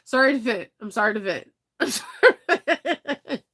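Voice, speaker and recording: A woman's voice: fairly high pitch, expressive, a little slow, a bit bright, husky. Woman, congested, nasal stuffiness, casual vlog chat, frequent laughter, rambling asides. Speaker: vlogger talking to viewers.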